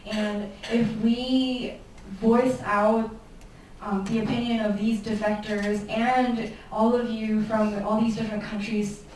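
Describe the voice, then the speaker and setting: A woman's voice: on the high side, flat delivery, takes it slowly, darkish tone, a little hiss or hum. A woman interpreting questions and answers at a university Q&A. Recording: echoey hall from far off.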